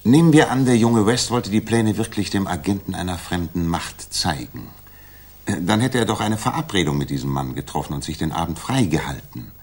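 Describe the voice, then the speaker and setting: An actor in a 1960s German radio drama playing a cool, self-assured detective with a measured, dry, precise delivery. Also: male voice, low-pitched, expressive, slightly rough. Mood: analytical, probing. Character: Sherlock Holmes, cool, analytical, self-assured male detective.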